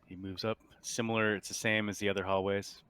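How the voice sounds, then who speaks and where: Low-voiced man running a tabletop game. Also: level tone, fairly bright tone, smooth. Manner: halting, casual, pieces descriptions together aloud. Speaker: game master. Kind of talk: narrating a tabletop roleplaying game.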